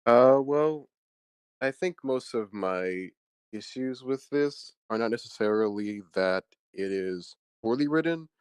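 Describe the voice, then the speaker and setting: A man's voice: mid-range voice, lively intonation, takes it slowly, clean tone, dry recording. A man giving casual spoken critique as a participant, on an online meeting microphone. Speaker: participant giving script feedback.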